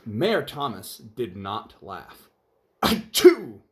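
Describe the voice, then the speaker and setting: A man reading a children's picture book aloud in an expressive, emphatic way. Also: mid-range voice, moderate pace. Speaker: volunteer story reader, salesman.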